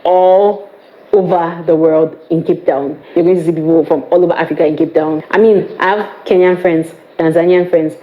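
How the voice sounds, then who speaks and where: A woman's voice: lowish voice, steady tone, moderate pace, phone-quality sound. A young woman with a Cameroonian English accent, chatting to camera in a playful way, with teasing asides. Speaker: vlogger. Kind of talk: casual chat to camera.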